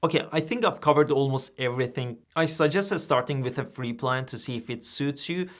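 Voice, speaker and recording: Man explaining software step by step. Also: mid-range voice, brisk. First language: Persian. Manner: plain, steady step-by-step explaining. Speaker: tutorial host. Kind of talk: screen-recorded software tutorial.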